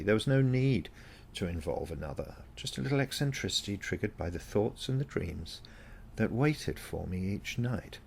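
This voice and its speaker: Low, creaky-voiced man reading a story. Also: darkish tone, a little hiss or hum. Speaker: story reader. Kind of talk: scripted first-person story narration.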